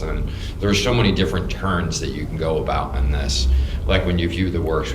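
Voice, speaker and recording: A man from New York with an American accent, speaking from the audience at a gallery talk in halting, heartfelt, repetitive remarks. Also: low voice. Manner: halting, repeats words, heartfelt. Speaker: audience member. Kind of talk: casual, rambling remarks to a panel. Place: art gallery during a public talk. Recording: roomy and noisy gallery room.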